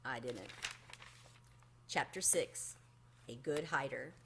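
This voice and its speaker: A woman reading a children's book aloud expressively, in an animated storytelling manner. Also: extremely low pitch, slow, noisy background. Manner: animated, acts out the dialogue.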